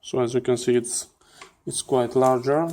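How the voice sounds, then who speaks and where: A man giving hands-on teardown commentary as a channel host, in halting phrases with repeated words. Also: mid-range voice, flat delivery, a little mellow. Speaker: host of a radio electronics channel.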